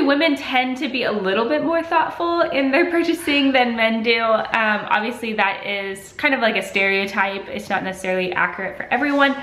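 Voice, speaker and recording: A woman's voice: on the high side, a bit bright. A woman in her twenties, sniffly with a cold, chatting to the camera as a lifestyle vlogger from her home kitchen. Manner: chatty, gestures a lot with her hands. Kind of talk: casual talk to camera. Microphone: camera at desk distance. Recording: echoey kitchen.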